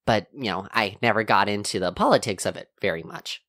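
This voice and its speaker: Woman voicing a low-pitched, polite robot helper. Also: a bit bright, no echo, clean studio sound. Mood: earnest, explaining. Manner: formal, measured, careful phrasing. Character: polite, precise robot AI helper, a little unsure. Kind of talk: science fiction audio drama acting.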